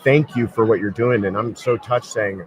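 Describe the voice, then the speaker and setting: A man who is a lawyer and podcast host, chatting casually as a guest at a convention booth and telling lively stories. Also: lowish voice, moderate pace, crowd noise, poor audio. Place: busy convention floor.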